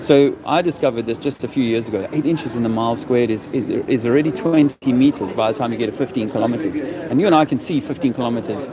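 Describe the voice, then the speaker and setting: A man's voice: medium pitch, fast, few pauses, low-quality recording. Man, South African accent, earnest, explanatory, tired, busy street crowd behind. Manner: earnest, explanatory, eager to make his case. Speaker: interviewee. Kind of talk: casual outdoor conversation.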